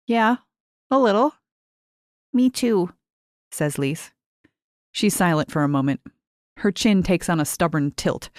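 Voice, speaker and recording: A woman reading short fiction as a scripted narration, measured and clearly articulated. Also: sits low, dry recording, clean studio sound. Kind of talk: scripted audiobook-style narration.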